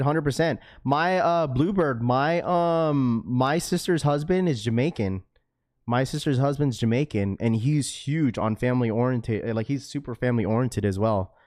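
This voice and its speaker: A man's voice: medium pitch, a little mellow, no echo. Laid-back slangy man streaming, dry sound. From Washington state, US. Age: young adult to middle-aged, a father of a schoolchild. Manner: laid-back and slangy, full of bro, dude and like. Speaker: livestream host reading chat. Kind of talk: casual livestream chat.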